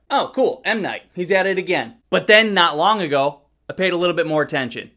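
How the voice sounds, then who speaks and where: A man's voice: sits high, bright, forceful tone. A man giving a casual, blunt movie review in a conversational way, with some casual swearing. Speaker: film reviewer.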